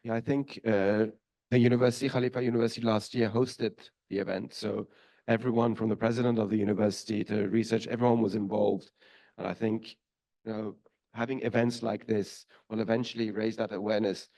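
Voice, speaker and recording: Man moderator, low mellow voice, polite, brief summaries, conference panel. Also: flat delivery, brisk, poor audio. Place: conference hall.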